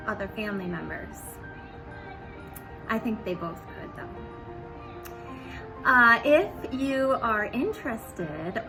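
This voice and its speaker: Slow-paced woman reading to children. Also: medium pitch, poor audio. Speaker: teacher reading aloud to children. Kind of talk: storybook read-aloud and casual chat to viewers.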